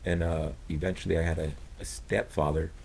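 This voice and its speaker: Low, gravelly Los man. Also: flat delivery, fairly quick, darkish tone, a little hiss or hum. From East Los Angeles, United States. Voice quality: low, rich voice. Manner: slangy, joking, says bro often. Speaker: podcast guest, artist and veteran. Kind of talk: casual chat, storytelling.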